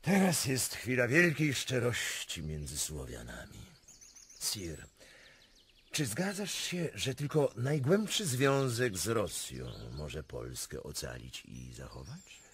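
Volatile Russian prince, radio drama, hugely animated pitch, theatrical delivery, faint noise behind. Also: male voice, takes it slowly, full character voice. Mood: courtly, persuasive. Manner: theatrical, swinging from barking orders to courtly smoothness. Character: imperious, volatile Russian prince, flattering yet domineering.

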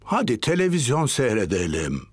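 A man voicing a genial, enthusiastic older grandfather pig in a cartoon, jolly and deliberate. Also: mid-range voice, wildly swooping pitch, background noise. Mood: puzzled. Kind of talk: cartoon dialogue. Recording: loud music behind the voice.

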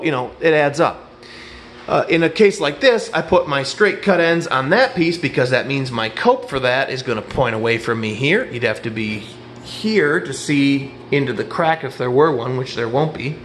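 Folksy middle-aged carpenter explaining trim work. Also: male voice, mid-range voice, slight background noise. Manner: rambling, folksy, self-correcting explanations. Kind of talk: casual hands-on instruction. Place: empty apartment room under renovation, indoors. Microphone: head-mounted action camera.

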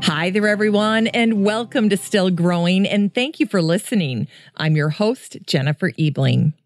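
A woman hosting a gardening podcast, chatting in a friendly, welcoming way into a headset, her voice a little deeper after a coughing fit. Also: animated, normal speed, over music. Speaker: podcast host. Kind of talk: casual solo podcast chat.

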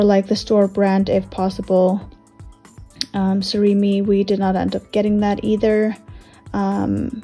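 A woman's voice: mid-range voice, warm tone. A woman vlogger chatting casually with many fillers, explaining her weekly grocery plan at home.

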